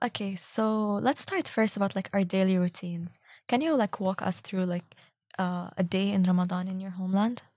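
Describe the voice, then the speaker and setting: A woman's voice: mid-range voice, normal speed, a little mellow, no room sound, clean studio sound. A young woman from Egypt hosting a student discussion, asking questions in a friendly way with frequent filler words. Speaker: student host.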